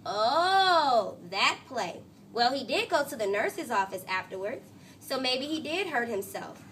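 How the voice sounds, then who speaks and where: Storybook role: woman as an earnest, tentative young boy, high and bright, fair-minded. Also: sing-song, a little slow, distant mic, a little hiss or hum.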